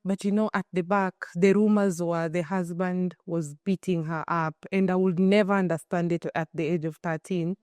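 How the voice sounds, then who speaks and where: A Kenyan woman in her thirties, telling her life story as an interview guest in an earnest, expressive way with many restarts. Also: fairly low pitch, normal speed, few pauses, no room sound, studio quality. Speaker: guest, shelter founder.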